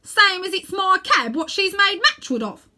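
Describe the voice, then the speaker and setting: A woman's voice: very high-pitched, big pitch swings, normal speed, pushed and bright, voice pushed up, full character voice. A woman voicing an earnest working-class London cabby in a storybook. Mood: agitated. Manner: earnest, colloquial, coaxing.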